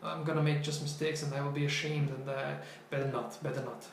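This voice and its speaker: A young man whose first language is not English, co-hosting a casual podcast chat in a loose, halting way with many restarts. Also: on the high side, flat delivery, gravelly, echoey room, slight background noise. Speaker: co-host. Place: small bright office room.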